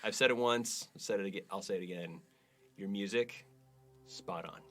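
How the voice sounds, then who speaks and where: A man's voice: low-pitched, moderate pace, fairly bright tone, soft background music. A man co-hosting a podcast, reading listener reviews and bantering casually in a playful, teasing, mock-emphatic way. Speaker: podcast co-host.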